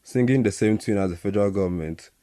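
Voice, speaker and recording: A man acting as a loyal Nigerian company adviser in a radio drama. His voice is low and mellow, and he speaks in a measured, frank way to his boss. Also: dry recording, clean studio sound, natural voice. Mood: measured, candid.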